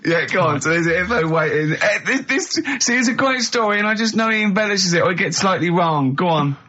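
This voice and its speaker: A man co-hosting a comic radio show, talking in an excitable, incredulous and mocking way. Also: high voice, fairly quick, lo-fi sound, slight background noise.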